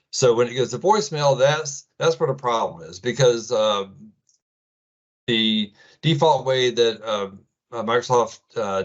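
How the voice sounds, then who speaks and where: A middle-aged man with an American accent giving an informal tech presentation in a casual, halting way full of ums. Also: mid-range voice, dull audio. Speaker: presenter, IT administrator.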